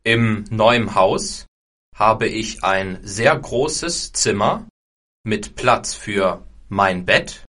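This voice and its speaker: A man from Germany reads short scripted sentences for a language exam with careful, slow delivery. His voice sits somewhat low. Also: a bit bright, a little hiss or hum. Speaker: exam recording speaker.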